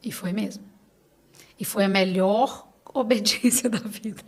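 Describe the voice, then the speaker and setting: A woman's voice: medium pitch, steady tone, measured pace, darkish tone. A Brazilian woman in her late thirties speaking as a podcast guest, conversational and emphatic, advising listeners directly with reassurance. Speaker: clinic owner advising on supplements. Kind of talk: conversational interview.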